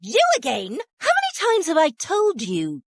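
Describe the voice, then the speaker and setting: A woman's voice: high-pitched, wildly swooping pitch, moderate pace, no room sound, studio quality, heavily acted. A woman voicing a vain, power-hungry fire alicorn villain in a cartoon, with haughty, gloating, theatrical delivery. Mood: haughty contempt.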